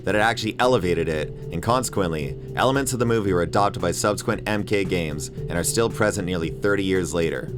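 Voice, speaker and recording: A man's voice: low-pitched, fast talker, few pauses. A man delivering an opinionated, emphatic scripted video essay as host, with casual swearing. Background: music bed under voice-over.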